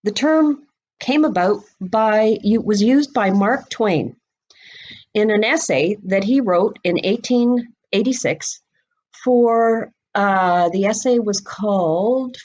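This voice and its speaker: A middle-aged woman speaking as a guest expert on a podcast, explaining things informally and deliberately, with pauses between phrases. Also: medium pitch, darkish tone. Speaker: guest expert on mystery fiction, bookseller and writer.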